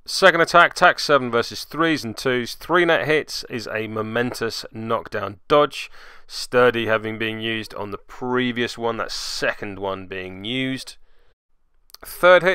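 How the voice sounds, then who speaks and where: Male tabletop game commentator, mid-pitched and smooth, dry sound, play-by-play with wry asides. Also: sharp tone, dry recording.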